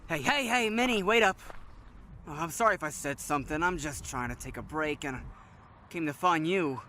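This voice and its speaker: A man's voice: a little high, expressive, normal speed, pushed and bright, slight background noise. A man playing a playful, teasing young electrician in an audio drama, upbeat, joking and friendly. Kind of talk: naturalistic audio drama acting.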